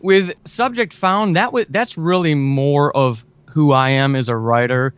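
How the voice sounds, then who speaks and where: A man's voice: on the high side, unhurried, smooth, dry recording, dull audio. An American man talking chattily and with enthusiasm as a guest in a conversational interview, repeating words for emphasis. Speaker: podcast guest, audio drama writer.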